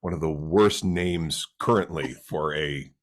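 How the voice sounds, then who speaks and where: A man's voice: rumbling low, a little slow. A man who is a stand-up comic, chatting casually as a podcast guest and telling rambling, joking stories with lots of restarts. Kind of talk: casual chat. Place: recorded for a podcast.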